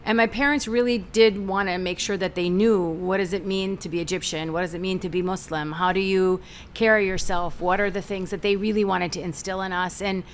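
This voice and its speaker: A middle-aged American woman, a guest in a talk-show interview, telling stories in an energetic, animated way. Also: mid-range voice, fairly quick, a little hiss or hum. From United States. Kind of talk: conversational interview.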